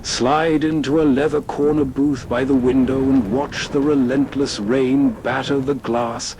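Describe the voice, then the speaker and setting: Male host for nostalgic night narration: unhurried, soothing, medium pitch, faint noise behind. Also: steady tone, darkish tone. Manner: soothing, evocative second-person guiding. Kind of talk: slow, atmospheric scripted narration.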